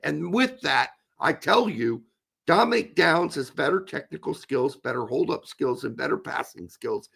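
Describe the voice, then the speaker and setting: A man co-hosting a casual podcast chat, hoarse with his voice going, blunt and opinionated with some swearing. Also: fairly high pitch, animated, moderate pace, a bit bright. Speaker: co-host.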